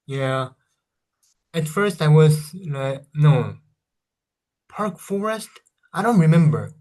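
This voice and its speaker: A man's voice: fairly high pitch, darkish tone, poor audio. A man in his thirties with a Korean accent, speaking English as a second language and rambling casually through a console review, often hesitating and correcting himself. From South Korea. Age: 30s. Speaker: vlogger.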